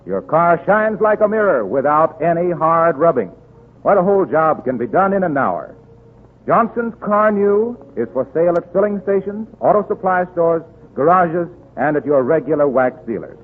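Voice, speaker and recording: A man's voice: on the high side, animated, normal speed. An American man reading scripted copy as a 1930s radio announcer. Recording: tinny 1930s radio broadcast recording.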